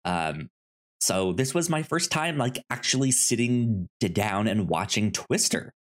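A man co-hosting a podcast in casual movie chat, speaking haltingly with frequent repeated words and false starts. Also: on the low side, lively intonation. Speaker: podcast co-host.

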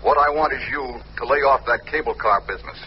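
An actor playing a menacing anonymous telephone caller, terse and threatening, in a 1950s radio crime drama. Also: male voice, medium pitch, a little fast, natural voice. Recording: muffled old radio, noisy telephone voice.

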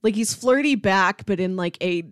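A woman's voice: medium pitch, normal speed, dry recording. Enthusiastic woman podcast co-host, dry close sound. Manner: enthusiastic, conversational, peppers talk with "like". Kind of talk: casual film discussion chat.

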